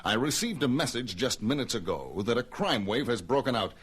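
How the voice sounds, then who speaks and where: Man playing a bright, forceful, husky superhero. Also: medium pitch, sing-song, fairly quick. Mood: firm, dutiful. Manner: firm, declarative. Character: resolute adult male superhero and newsman, calm, authoritative. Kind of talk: superhero cartoon acting.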